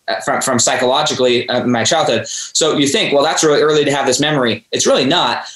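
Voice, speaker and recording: A man's voice: fairly high pitch, fairly bright tone, reverberant, low-quality recording. A man hosting a podcast, talking earnestly and preachily, addressing his listeners directly. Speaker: podcast host. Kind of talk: motivational interview talk.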